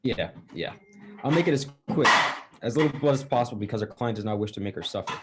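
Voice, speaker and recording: An actor playing an eager, casually reassuring hired killer in a 1940s radio drama, repeating himself. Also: male voice, medium pitch, expressive, quick pace, heavy background noise, lightly acted.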